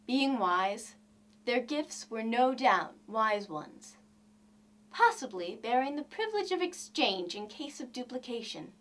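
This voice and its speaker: Female narrator for literary short fiction, higher-pitched, expressive and deliberate, taking her time over each phrase. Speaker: reader of literature. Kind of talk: expressive audiobook narration of a classic short story.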